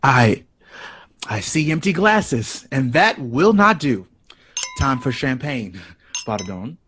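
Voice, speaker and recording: An actor playing an evasive, playful, urbane jazz composer in a 1960s audio drama. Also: male voice, medium pitch, big pitch swings, slow, fairly bright tone, a little hiss or hum. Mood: playful, flustered.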